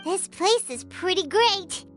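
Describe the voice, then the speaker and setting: A woman voicing a tiny, curious and eager fairy-like girl companion in a video game, with a squeaky, childlike, exclamatory delivery. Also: lively intonation, slow pace, faint music behind, exaggerated voice. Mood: curious, friendly. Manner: speaks of herself in third person, exclamatory.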